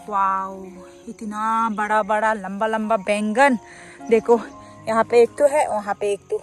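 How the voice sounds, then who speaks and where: A young woman chatting casually as she picks leaves in her village garden, pointing things out to the camera. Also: medium pitch. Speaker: vlogger. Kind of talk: casual vlog chat. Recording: background music over outdoor sound.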